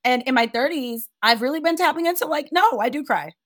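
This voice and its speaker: A woman in her thirties with a raspy, deep, loud voice, chatting casually as an interview guest and telling lively stories. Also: a little fast, dry recording, clean studio sound. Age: 30s. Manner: loud, animated storyteller who laughs often.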